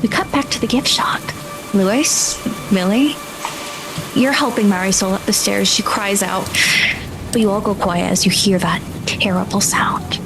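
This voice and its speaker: A woman's voice: mid-range voice, moderate pace, over music, rough recording. A woman working as game master, improvising dramatic, vivid narration for a tabletop roleplaying game.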